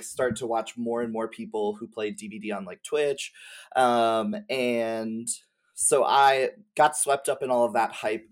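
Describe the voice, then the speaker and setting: A man chatting casually as a guest game streamer, talking in a chatty, filler-filled way. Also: mid-range voice. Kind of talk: casual chat.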